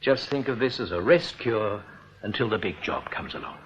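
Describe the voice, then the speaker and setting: An actor playing a breezy, authoritative British senior bank boss in a radio drama, persuasive and paternal. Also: male voice, lowish voice, lively intonation, normal speed, close to an everyday voice. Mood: hearty, persuasive. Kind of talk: broadcast radio drama acting. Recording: dull noisy old radio recording.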